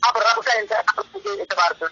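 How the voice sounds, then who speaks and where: A man's voice: extremely high pitch, sing-song, moderate pace, sharp tone. A man arguing about religion on a recorded phone call in a combative, scolding way. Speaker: debater in a phone argument. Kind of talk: religious debate over the phone. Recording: noisy, dull phone call recording with clicks.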